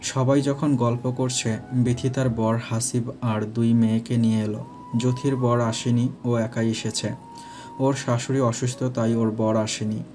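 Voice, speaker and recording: Mellow, even-toned male story narrator. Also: medium pitch, a little slow. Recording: faint music behind.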